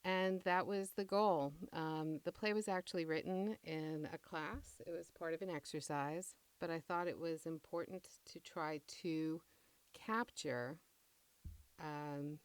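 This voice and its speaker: Middle aged lady writer getting interviewed, low smooth voice, thinks as she talks, deliberate, very dry recording. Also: no echo. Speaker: playwright being interviewed. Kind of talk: reflective interview talk.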